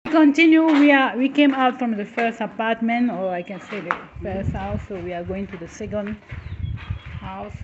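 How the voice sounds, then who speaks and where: A woman hosting a casual walking tour of a building site, pausing and restarting as she searches for words in English, which is not her first language. Her voice sits at an ordinary pitch. It is caught on a handheld device with a slightly dull sound and a faint hiss of noise behind it. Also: steady tone. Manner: halting, with restarts and simple phrasing. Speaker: travel vlogger, host. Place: house under construction, indoors and out.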